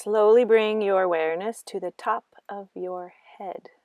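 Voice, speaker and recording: A woman guiding a meditation in slow, gentle instructions with long pauses between phrases. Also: medium pitch, fairly even intonation, no echo. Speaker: meditation guide. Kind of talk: guided meditation narration.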